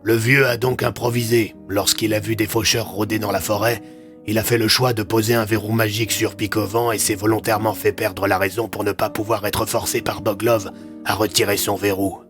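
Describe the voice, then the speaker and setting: Audio drama: weary, blunt police commissioner, authoritative explainer who swears, low pitch, faint music bed male narrator acting. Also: little pitch movement, fairly quick, fairly bright tone, natural voice. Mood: explaining. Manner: explains at length, swears mildly.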